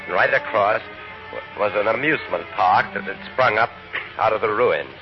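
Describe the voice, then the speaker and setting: A man's voice: fairly low pitch, lively intonation, moderate pace. An actor playing a plain-spoken man who narrates his own story, blunt in dialogue, in a 1950s radio drama. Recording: muffled old radio broadcast with music.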